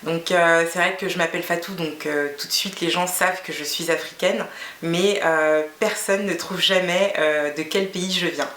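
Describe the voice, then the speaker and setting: Lowish-voiced woman in her 30s from guinea, fula first language, lots of euh and restarts, talking to her camera. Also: steady tone, moderate pace, a bit bright, echoey room. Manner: hesitant, many fillers and restarts. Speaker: beauty vlogger. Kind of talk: casual talk to camera.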